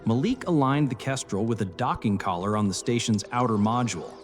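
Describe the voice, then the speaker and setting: A man reading scripted cinematic science fiction narration in a measured, serious storytelling manner. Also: mid-range voice. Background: music bed.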